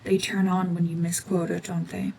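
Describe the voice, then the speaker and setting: A woman voicing a cold, mean, world-weary cyborg witch and war veteran in a hushed, breathy, brooding, deliberate ASMR drama monologue. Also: on the low side, flat delivery. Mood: guarded, slightly softening.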